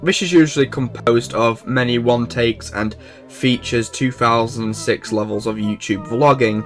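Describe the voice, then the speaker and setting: A man's voice: mid-range voice, fairly bright tone. A man hosting a video essay, reading informal scripted narration. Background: background music.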